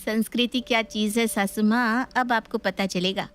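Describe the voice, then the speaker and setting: Sly, high-voiced young bride, voiced by a woman. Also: fairly even intonation, moderate pace, fairly bright tone, clear, resonant tone, natural voice. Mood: scheming. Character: willful, sly young new bride avoiding housework.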